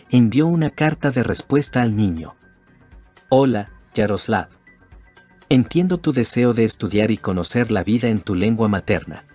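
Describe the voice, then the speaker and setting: A man reading a scripted documentary narration in a measured way. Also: lowish voice, darkish tone.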